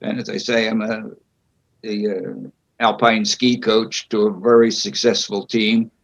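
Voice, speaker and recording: An older man answering interview questions at a public meeting over a video call, speaking slowly and haltingly with frequent pauses. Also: on the low side, level tone, vocal fry. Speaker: board candidate being interviewed. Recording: dull lo-fi video call audio.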